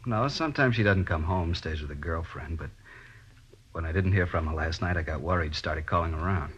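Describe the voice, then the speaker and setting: Grieving american dad in 50s radio play, deep but sharp voice, pleading, muffled old recording. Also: male voice, slight background noise. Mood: dazed, subdued. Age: middle-aged. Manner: halting, repetitive, pleading. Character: distraught grieving father of a dead daughter. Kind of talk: 1950s radio drama acting.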